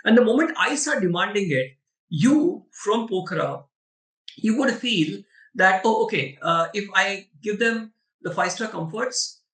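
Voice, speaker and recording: A man with an Indian accent and a bright, fairly high voice answers questions on a webinar. He speaks emphatically and conversationally, like a storyteller. The recording is roomy and echoing. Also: expressive, a little slow. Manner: emphatic, storytelling with rhetorical check-ins. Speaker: webinar panelist. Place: online video webinar. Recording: roomy echo, distant from the mic.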